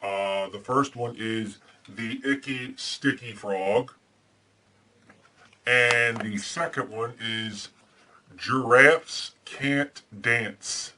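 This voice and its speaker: Superhero storyteller, played by a man: earnest and deadpan, bright voice, casual hesitant delivery, faintly noisy homemade recording. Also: mid-range voice, extremely slow, full character voice. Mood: chatty, curious. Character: Batman, earnest masked superhero reading storybooks aloud. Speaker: storyteller and host. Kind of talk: casual chat and storybook read-aloud.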